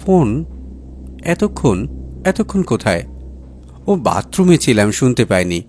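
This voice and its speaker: A man's voice: mid-range voice, very animated, a little slow, a little mellow, slight background noise, voice pushed up. A man voicing a nervous, deceitful wife in an audiobook, hesitant and feigning casualness. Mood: nervous, startled. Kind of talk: acted audiobook dialogue.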